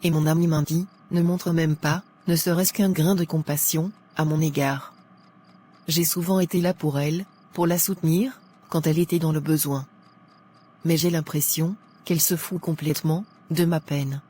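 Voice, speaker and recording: A woman's voice: deep voice, fairly even intonation, normal speed, dark tone, slightly rough. Woman acting a dejected, rejected young woman.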